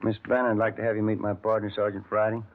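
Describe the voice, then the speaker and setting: A man's voice: lowish voice, completely flat delivery, fast talker, lightly acted. A man playing an easygoing police detective partner. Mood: casual. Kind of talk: 1950s radio drama acting. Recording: tinny old radio broadcast with faint hiss.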